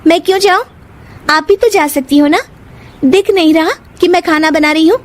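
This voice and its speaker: A woman's voice: very high voice, normal speed, pitched above the performer's own voice. A woman voicing a defiant, quarrelsome young wife in a cartoon story. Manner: quarrelsome, retorting.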